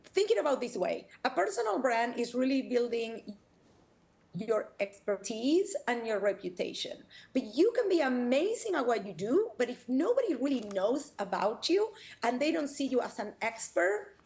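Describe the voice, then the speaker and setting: A woman's voice: on the high side, very animated, moderate pace. Woman, Argentine accent, branding coach, eager explaining, video call audio.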